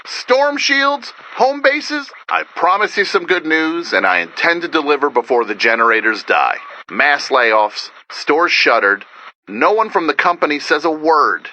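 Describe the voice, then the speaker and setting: Casting: game's survivor radio DJ, high-pitched, forceful, earnest with wry edge, urgent conversational delivery. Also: male voice, animated, a little slow, slight background noise, full character voice, poor audio. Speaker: radio broadcaster character. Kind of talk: video game voice acting, radio broadcast monologue.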